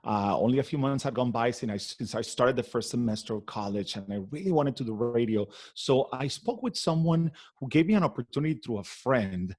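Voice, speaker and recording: A man from Venezuela, a guest in an interview, telling his story in an animated way with many fillers. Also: medium pitch, a little fast, few pauses. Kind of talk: conversational interview.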